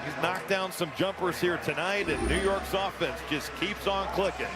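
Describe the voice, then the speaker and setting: Male game analyst, somewhat high pitch, broadcast basketball commentary. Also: moderate pace.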